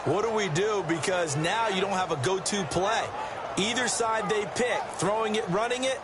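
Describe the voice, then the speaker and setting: An actor playing a chatty, emphatic American ex-player who explains plays as a football game's color commentator. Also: male voice, high voice, normal speed, heavy background noise, close to an everyday voice.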